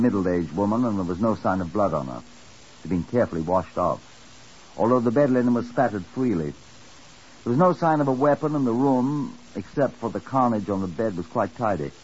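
A man plays a weary chief inspector telling a case in an old radio drama. His male voice is fairly low, dry and measured, full of wry understatement. The recording is muffled with faint hiss. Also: a little mellow, natural voice. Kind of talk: 1950s radio drama narration.

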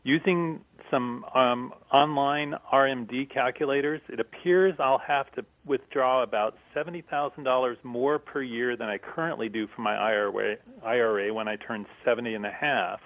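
A man in his sixties calling in to a radio show, asking his question haltingly with fillers. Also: mid-range voice, fairly even intonation. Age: 60s. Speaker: caller. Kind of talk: phone-in question. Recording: tinny phone line.

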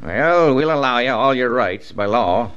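An actor playing a tough, stubborn small-town sheriff in a radio western, with gruff, folksy frontier speech. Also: male voice, mid-range voice, expressive, unhurried, fairly bright tone. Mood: stern, dismissive. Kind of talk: old-time radio western acting. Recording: dull vintage radio broadcast.

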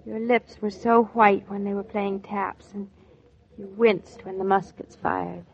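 An earnest young married woman in an old radio play. Her voice is medium in pitch and she confides with tender sincerity, on a dull vintage recording. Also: fairly even intonation, measured pace, creaky, a little hiss or hum. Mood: earnest, confessing. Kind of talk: 1940s radio drama acting.

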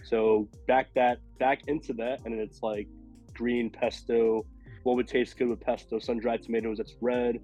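A man with a raspy, hoarse voice from a cold, rambling casually as a podcast guest with many fillers. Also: medium pitch, level tone, a little slow, mellow, highs cut off, faint music behind. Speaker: podcast guest, food illustrator.

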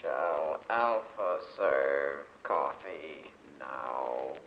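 An actor playing an obedient robot servant, halting and toneless, in a 1940s radio drama. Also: male voice, extremely low pitch, animated, full character voice. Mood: flat, obedient. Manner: halting, toneless, speaks of itself in third person. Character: hulking obedient robot servant built of aluminum. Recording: muffled noisy old radio recording with clicks.

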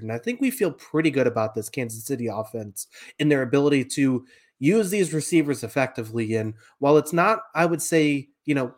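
A man co-hosting a sports podcast, talking through football analysis in a conversational way. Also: medium pitch.

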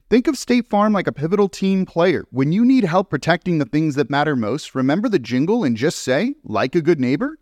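A man's voice: high-pitched, darkish tone, no room sound. A man reading scripted sponsored sports narration as an ad reader.

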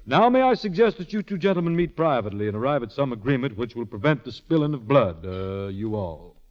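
Actor as animated, easygoing smooth talker. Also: male voice, medium pitch, a little fast, close to an everyday voice. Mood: mock-courtly. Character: easygoing, wily minstrel performer. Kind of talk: 1940s radio acting. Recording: dull 1940s radio recording.

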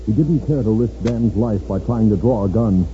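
Low-voiced American radio narrator. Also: male voice, normal speed. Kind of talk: scripted 1950s radio drama narration. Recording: dull old radio recording. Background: dramatic music.

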